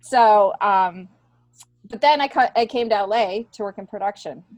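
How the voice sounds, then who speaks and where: A middle-aged American woman telling stories casually as a guest, in a halting, animated way that often restarts sentences. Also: medium pitch, muffled recording, crowd noise. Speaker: podcast guest, stand-up comedian. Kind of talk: casual conversational storytelling.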